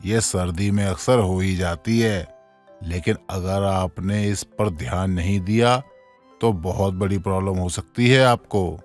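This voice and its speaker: Casting: cartoon dermatologist, deep and unhurried, calm, reassuring, mixes English into his speech. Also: soft background music. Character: calm, reassuring male skin doctor. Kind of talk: Hindi animated moral story dialogue.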